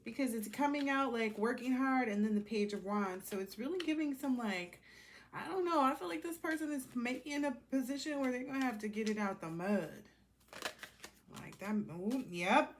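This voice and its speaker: A woman's voice: fairly high pitch, expressive, normal speed, a little hiss or hum. A woman giving a casual, improvised tarot reading, talking colloquially to herself and to the cards as she goes. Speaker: tarot reader hosting a collective reading.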